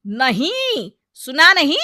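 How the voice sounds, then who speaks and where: A woman's voice: high voice, wildly swooping pitch, takes it slowly, pushed and bright, dry recording, clean studio sound, heavily acted. A woman voicing a stubborn, hot-tempered village-raised mother-in-law in a comic family cartoon, bossy, boastful and dismissive. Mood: snappy.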